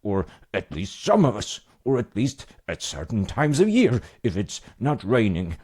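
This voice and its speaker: A man voicing a big, gruff, fatherly mountain troll in a bedtime story, blustery and booming yet reassuring as he soothes a child. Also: wildly swooping pitch, a little slow, a little mellow, full character voice. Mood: blustery, exasperated.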